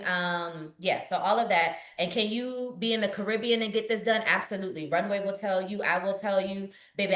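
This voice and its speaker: A woman from Richmond, Virginia, a business coach answering questions in a lively, preachy, motivational way. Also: mid-range voice, reverberant. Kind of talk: live webinar Q&A.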